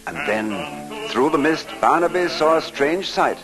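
A man's voice: a little high, expressive, normal speed. Dramatic male radio storyteller with music. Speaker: storyteller narrator. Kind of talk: 1940s radio scripted story narration. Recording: old radio recording with music behind.

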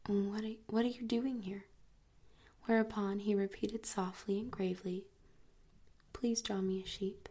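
A woman narrating a story in a soft, steady whisper, reading it like an audiobook. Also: medium pitch, husky.

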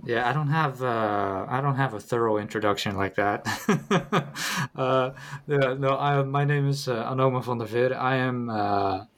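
A man from the Netherlands with a Dutch accent, a researcher guest speaking haltingly with many fillers and a self-deprecating tone. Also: mid-range voice, a bit bright. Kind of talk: casual interview talk.

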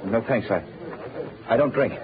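A man's voice: mid-range voice, moderate pace, lightly acted. Man playing desperate husband on muffled vintage radio. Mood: earnest, hopeful. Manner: earnest, pleading. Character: earnest, desperate out-of-work husband and father. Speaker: actor. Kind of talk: old-time radio drama acting. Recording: muffled, noisy old-time radio recording.

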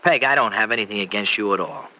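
Blunt, bright-voiced young American guy. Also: fairly low pitch, fast talker. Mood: blunt, defensive. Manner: blunt, defensive, colloquial. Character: blunt, loyal young American truck-driving man. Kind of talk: old-time radio serial acting. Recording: noisy old radio broadcast recording.

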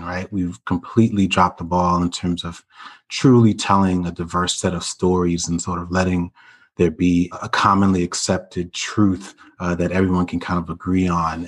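A man's voice: sits low, a bit bright. A man, a guest on a panel discussion, reflecting thoughtfully, with many hedges and fillers.

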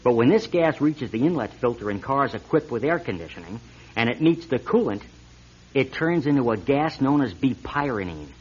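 An actor playing an earnest, insistent auto lab technician turned whistleblower in a radio drama, hesitant at times and urgent at others. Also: male voice, mid-range voice, big pitch swings, normal speed, darkish tone, breathy edge. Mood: earnest, explaining. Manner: hesitant, then urgent and emphatic. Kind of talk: 1970s-style radio drama acting. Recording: dull lo-fi radio recording.

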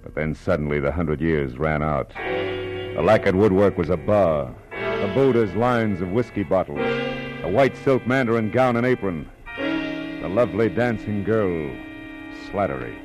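Casting: low-voiced, cynical American gumshoe, clipped and wry, old radio crime drama with music. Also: male voice, lively intonation, unhurried. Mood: dazed, dreamy narration. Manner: clipped, wry, hardboiled delivery. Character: tough, cynical hardboiled male private detective.

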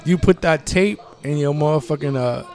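A man hosting a casual podcast chat in a small studio, loud and playful, swearing freely. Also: fairly high pitch, moderate pace, faint music behind. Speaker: podcast host. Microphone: studio mic.

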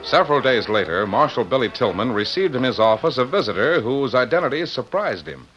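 An actor as the solemn, declamatory American narrator of an old radio western. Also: male voice, medium pitch, animated, brisk, lightly acted. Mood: dramatic. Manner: declamatory, portentous. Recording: muffled old radio recording, loud music.

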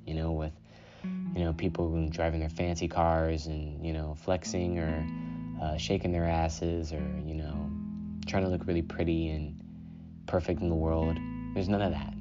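A man hosting a solo self-care podcast, talking in a calm, unhurried way and often saying "you know". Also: very deep voice. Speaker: podcast host. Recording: dull lo-fi sound with guitar music.

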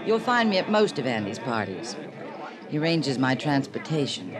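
A woman's voice: very deep voice, big pitch swings, normal speed. Sardonic party girl, crowd behind. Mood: teasing. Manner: arch, languid, teasing. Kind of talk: classic radio drama acting. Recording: old radio, busy party chatter behind.

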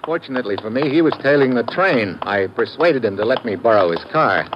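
An actor playing a tough, wry detective in an old-time radio drama, with a hardboiled, slangy delivery. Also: male voice, medium pitch, expressive, brisk, close to an everyday voice. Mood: tough, taunting. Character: tough, wry male American detective narrating his case. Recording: noisy, muffled vintage radio recording.

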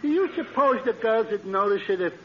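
An actor playing a timid, dim-witted and naive man, a lowbrow sidekick in a 1940s radio comedy. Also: lively intonation, brisk. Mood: nervous, reluctant. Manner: dopey, naive, plain ungrammatical talk. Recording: dull old radio before an audience.

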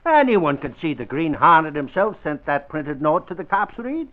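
An actor playing an excitable, boastful Irish reporter in an old-time radio drama, blustering and exclamatory. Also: male voice, a little high, normal speed. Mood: insistent. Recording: muffled old radio recording.

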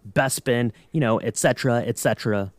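A man in casual podcast banter, playful and mock-serious, with an average-pitched voice. He sounds close and dry on the mic. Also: fairly quick, no echo. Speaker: podcast co-host.

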